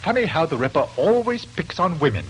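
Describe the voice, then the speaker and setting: An actor playing a grumbling older pensioner husband in a 1940s radio drama. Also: male voice, a little high, very animated, brisk, fairly bright tone, a little hiss or hum, poor audio. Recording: old 1940s radio broadcast, music behind.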